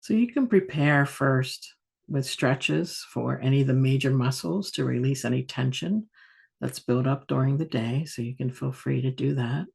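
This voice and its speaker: Soft husky lady doing guided yoga nidra, really mellow n soothing, slow with long pauses. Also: rumbling low. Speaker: meditation teacher.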